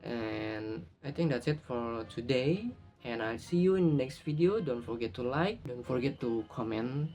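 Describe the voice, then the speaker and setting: A man with an Indonesian accent and Indonesian as his first language, talking to camera in a casual vlog, halting and often correcting himself mid-sentence. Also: mid-range voice, darkish tone. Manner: halting, frequent restarts and self-corrections. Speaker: YouTuber.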